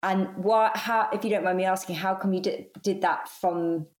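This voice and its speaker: A woman hosts the interview, asking attentive follow-ups in a gentle, probing way. Her voice has a middle pitch, and the room around her rings with echo. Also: fairly even intonation, moderate pace. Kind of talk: casual interview conversation.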